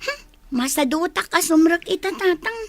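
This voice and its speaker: A woman's voice: extremely high pitch, lively intonation, moderate pace, no room sound. A woman acting as a lazy, stubborn student daughter in a radio drama, whiny and dismissive. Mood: reluctant, stubborn.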